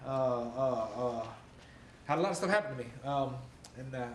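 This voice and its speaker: A man's voice: mid-range voice, expressive, lightly acted. A man acting a troubled veteran poet, echoey room. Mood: nervous, hesitant. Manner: halting, stammering talk that turns into impassioned rhymed verse. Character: troubled male Marine veteran performing anguished spoken-word confessional poetry. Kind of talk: spoken-word poetry performance, rhythmic and rhyming. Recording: echoey room with faint background noise.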